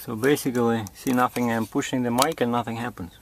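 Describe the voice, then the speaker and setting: A man giving an informal hands-on tutorial in a halting, improvised way, as if thinking aloud. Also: medium pitch, fairly even intonation. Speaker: demonstrator giving setup instructions. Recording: clearly noisy amateur recording.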